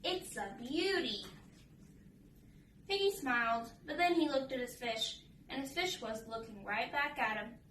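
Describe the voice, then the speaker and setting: Female storybook reader, bright tone, set back from the mic with some faint noise. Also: fairly high pitch, moderate pace, roomy sound, far from the mic. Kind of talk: children's read-aloud narration and casual chat.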